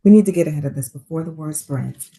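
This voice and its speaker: A woman plays a composed woman doctor in a drama read-through. Her voice is mellow and a little low, and she speaks with a careful, professional concern. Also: fast talker. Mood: worried, urgent. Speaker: actor in a script table read. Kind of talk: dramatic script reading.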